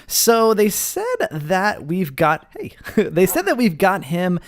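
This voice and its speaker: A man's voice: high voice, big pitch swings, takes it slowly. Playful man hosting gaming roleplay. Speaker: gaming video host. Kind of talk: casual Minecraft roleplay commentary.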